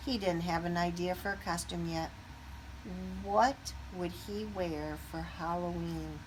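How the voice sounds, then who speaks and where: A woman reading a children's picture book aloud expressively, pausing to put questions to young listeners. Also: lowish voice, fairly even intonation, slight background noise, poor audio.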